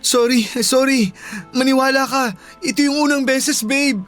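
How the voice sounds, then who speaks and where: An apologetic young Filipino boyfriend. His voice sits very high for a man, with a placating, pleading delivery in radio drama style over faint music. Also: fairly even intonation, measured pace, a bit bright. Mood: guilty, pleading.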